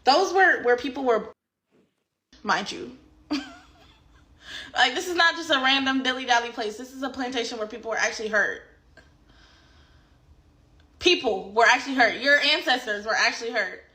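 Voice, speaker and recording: A high, bright-voiced woman speaks indignantly and stresses her points by repeating them. She is heard in a played clip with a roomy echo around her voice. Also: moderate pace, pauses often. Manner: indignant, emphatic repetition. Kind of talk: clip played from a social media video. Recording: echoey clip.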